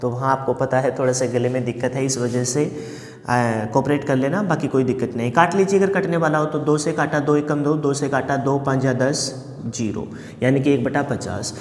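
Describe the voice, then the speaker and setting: A male math teacher with a slightly hoarse throat, giving a classroom lesson and answering his own questions while he repeats key points. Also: medium pitch, moderate pace. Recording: echoey room with long reverb.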